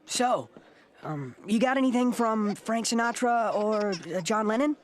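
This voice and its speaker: A woman's voice: fairly high pitch, measured pace, close to an everyday voice. A woman playing a hesitant, sensible young best friend in a cartoon. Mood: exasperated.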